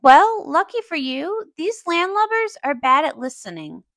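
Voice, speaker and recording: A woman voicing a warm-hearted, emotional young female pony in a cartoon comic dub, expressive and casual. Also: sits high, a little slow, dry recording, clean studio sound, full character voice. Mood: caring. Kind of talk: animated comic dub voice acting.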